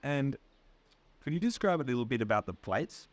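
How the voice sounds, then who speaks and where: A man with an Australian accent, an English teacher interviewing a guest in slow, clear English for learners, patient and encouraging. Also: mid-range voice, very animated. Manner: patient, encouraging, checks and repeats answers.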